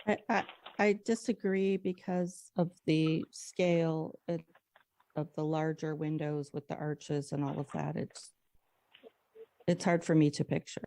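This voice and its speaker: A woman speaking as a commission member in a public meeting, giving her view in halting phrases. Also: low-pitched, fairly even intonation, mellow, no echo. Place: online public meeting call.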